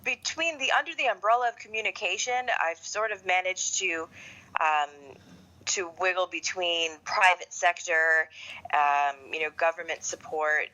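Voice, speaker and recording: A middle-aged woman from Canada talking as a podcast guest in a measured, reflective, conversational way. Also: fairly low pitch, sharp tone, lo-fi sound. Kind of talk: conversational interview.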